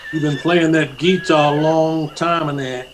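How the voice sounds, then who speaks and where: A highish, slow male voice with faint background noise, playing up menace. He is a spiteful rustic gunman in a radio Western, acted by a man. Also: fairly even intonation, lightly acted. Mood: menacing. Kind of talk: old-time Western radio acting.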